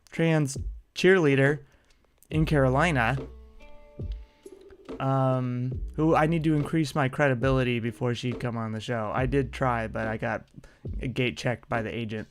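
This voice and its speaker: A man hosting a solo podcast, talking in a casual monologue that is punchy and combative, with free swearing. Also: mid-range voice.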